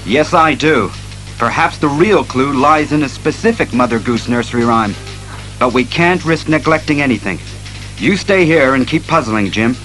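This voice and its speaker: A man playing a stern, analytical masked detective, speaking resolutely and deliberately in a superhero audio drama. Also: medium pitch, expressive, a bit bright, faint music behind, lightly acted, rough recording. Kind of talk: superhero radio drama acting.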